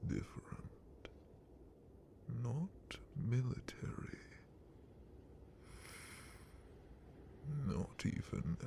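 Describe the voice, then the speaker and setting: A man playing a sadistic, haughty dragon captain of the guard in an ASMR roleplay, speaking with menacing contempt in a breathy, gravelly whisper. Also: medium pitch, noisy background. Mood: mocking, suspicious. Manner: menacing, contemptuous, drawn-out theatrical delivery.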